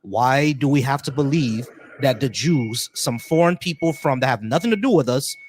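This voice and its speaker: A man's voice: medium pitch, expressive, normal speed, darkish tone, slight background noise. A man hosting a call-in debate who keeps interrupting and pressing the same question again and again. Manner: insistent, repeats questions and interrupts. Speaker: debate show host.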